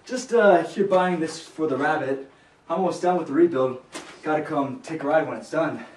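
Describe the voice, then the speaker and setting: A man's voice: on the high side, normal speed, a little mellow, close to an everyday voice. A man playing a friendly, teasing teenage boy with a crush in an amateur live script reading, casual in manner. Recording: distant, echoey, very noisy room.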